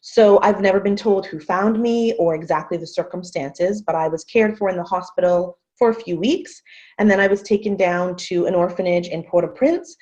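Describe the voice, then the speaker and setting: A woman's voice: fairly low pitch, a little mellow. Earnest woman speaker on a muffled call. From Canada. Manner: earnest, measured, repeats phrases for emphasis. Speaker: guest speaker. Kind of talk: webinar presentation. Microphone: video call. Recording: muffled video call audio.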